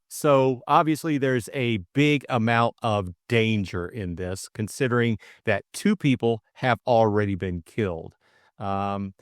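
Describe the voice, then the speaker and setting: Middle-aged man, average pitch, audiobook reader and co-host, dry sound. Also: no echo. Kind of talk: storybook narration and casual book discussion.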